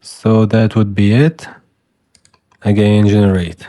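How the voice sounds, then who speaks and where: Slow, mellow-voiced man walking through steps. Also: on the low side, flat delivery, dry recording. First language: Arabic. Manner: plain step-by-step explaining while clicking. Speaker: tutorial host. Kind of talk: screen-recorded software tutorial.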